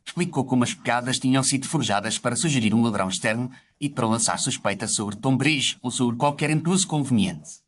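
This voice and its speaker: A man plays a gentlemanly doctor narrating his memoirs. The mid-pitched voice moves briskly through formal, literary, reflective storytelling, with faint noise behind it. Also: natural voice. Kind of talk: scripted first-person story narration.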